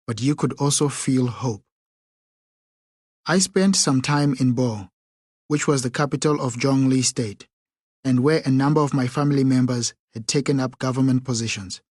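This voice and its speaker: A man narrates a first-person memoir for an audiobook, reading in a measured, unhurried way. His voice sits at an ordinary middle pitch. The close studio recording is dry, with no room sound. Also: breathy edge, no echo.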